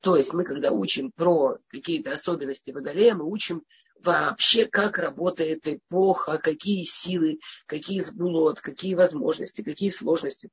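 A woman's voice: low voice, normal speed, breathy edge, highs cut off. A woman with a cold giving an informal religious lesson, often stammering and restarting as she talks. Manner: hesitant, with frequent stammers and restarts. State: has a cold. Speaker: teacher.

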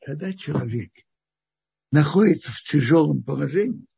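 A man teaching the weekly Torah portion in a halting, thinking-aloud way, often restarting his phrases. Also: fairly high pitch, lively intonation, creaky, warm tone, slightly rough, muffled recording.

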